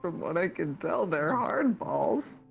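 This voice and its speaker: Man, slow pace, puzzled innocent questioning, improvised roleplay, light background music. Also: fairly high pitch, sing-song, creaky. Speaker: online roleplayer. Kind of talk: improvised in-character roleplay chat. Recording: music playing behind the voice.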